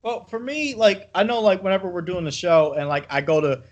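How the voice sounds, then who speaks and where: A man hosting a podcast, telling a story in a casual, animated and profane way. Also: high voice, fairly quick, dull audio. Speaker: podcast host. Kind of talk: casual chat.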